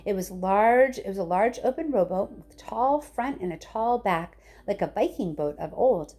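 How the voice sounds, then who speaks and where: A woman reading a children's storybook aloud with expressive narration. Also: mid-range voice, moderate pace. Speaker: teacher reading a book aloud.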